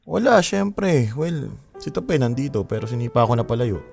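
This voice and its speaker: A man's voice: mid-range voice, sing-song, moderate pace, creaky, darkish tone, faint music behind. A man acting an earnest, attentive young boyfriend in a Filipino romance audio drama, casually mixing languages with a Filipino accent. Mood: smitten, confident. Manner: earnest, casual, mixes English and Tagalog.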